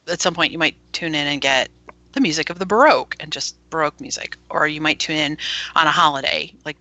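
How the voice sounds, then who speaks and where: A woman's voice: deep voice, fairly quick, pushed and bright, no echo. A woman who runs an internet radio station, chatting as a guest with frequent laughs and plenty of enthusiasm. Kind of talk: casual interview chat.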